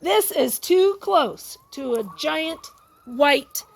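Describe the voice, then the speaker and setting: A woman reading a picture book aloud to young children, expressive and exclamatory, often breaking off to ask her listeners questions and add asides. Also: high voice, very slow, vocal fry, slight background noise.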